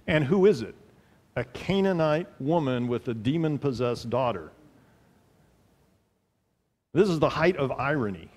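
A man's voice: mid-range voice, animated, unhurried, long pauses, darkish tone. A middle-aged man preaching a sermon from a pulpit in a church, earnest and emphatic, repeating phrases for weight, on a headset mic. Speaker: pastor. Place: large church sanctuary, at a pulpit. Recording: long hall reverb.

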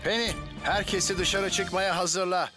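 Heroic cartoon fireman, reassuring, kinda high lively voice, music behind. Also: a little slow. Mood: urgent, reassuring. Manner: reassuring, instructive. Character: calm, encouraging heroic male firefighter. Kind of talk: children's cartoon dub.

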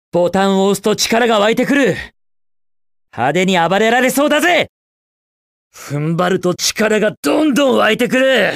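A cocky young hedgehog hero for a video game. The delivery is upbeat, brash and casually slangy, moving freely up and down in pitch. The sound is dry and studio-clean, with no room around it. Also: male voice, a bit bright, no room sound, exaggerated voice. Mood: focused, confident. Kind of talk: Japanese video game voice clips.

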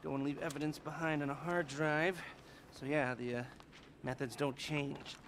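A video game heist mastermind, quiet and methodical, light background hiss. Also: male voice, on the high side, fairly even intonation, moderate pace. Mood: planning. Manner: soft-spoken, dry, hesitant with fillers. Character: nerdy male heist planner, dry and methodical.

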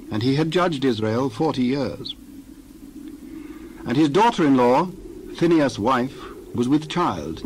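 A man's voice: mid-range voice, slight background noise, poor audio. Man reading scripture steadily. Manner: measured, steady narration. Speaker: scripture reader.

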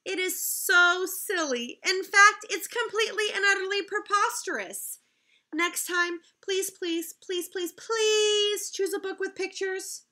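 A woman puts on a flustered grown-up reader from a children's storybook, theatrical and full of protest. The voice is very high and bright, and it drags words out with exaggerated exasperation. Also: fairly even intonation, unhurried, full character voice. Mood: exasperated pleading. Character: exasperated, dramatic grown-up forced to read silly words aloud. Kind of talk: animated children's picture book read-aloud.

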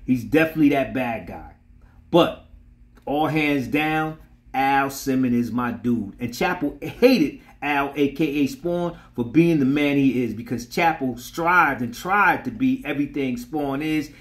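Middle aged guy hosting a comics channel, mid pitched voice, talking thru character lore. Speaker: comic book channel host. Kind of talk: scripted narration of character history.

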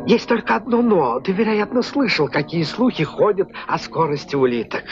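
Small philosophical snail, calming, high voice, muffled cartoon soundtrack with music. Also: male voice. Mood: calm, wry. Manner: calming, deliberate, wry. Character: friendly, philosophical little snail. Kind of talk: dubbed cartoon acting.

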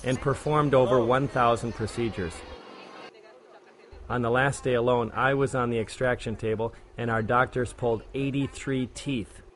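A man, a church volunteer, reading a plain, measured documentary voice-over about a mission trip. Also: medium pitch. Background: background music.

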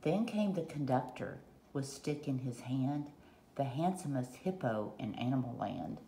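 A woman reading a children's picture book aloud in short, deliberate rhyming phrases, recorded at a table at home. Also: extremely low pitch, expressive, dark tone. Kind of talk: read-aloud storytelling. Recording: roomy echo, faint background noise.

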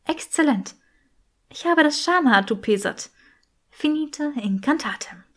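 A woman's voice: sits high, expressive, measured pace, voice pushed up. A woman voicing an earnest, teasing young witch in a fantasy audiobook. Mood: teasing, amused.

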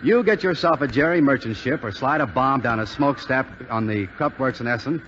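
Casting: man as steady young American pilot officer, good-natured, wartime radio play, medium pitch, light hiss. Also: fairly quick, close to an everyday voice. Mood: teasing. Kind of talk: 1940s radio drama acting. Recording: muffled, hissy 1940s radio recording, hall reverb.

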